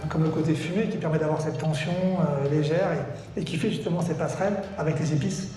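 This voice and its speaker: A man from Congo, a chef, speaking thoughtfully in a short documentary interview and pausing often to find his words. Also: fairly high pitch, fairly even intonation. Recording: strong echo with music over it.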